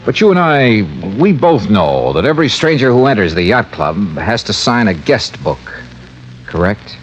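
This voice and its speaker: A man's voice: mid-range voice, very animated, normal speed, lightly acted. An actor playing a wry, clipped insurance investigator who narrates his case and questions people in a 1950s-style radio drama. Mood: coolly probing. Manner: wry, clipped, coolly probing. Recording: muffled old radio recording, music behind.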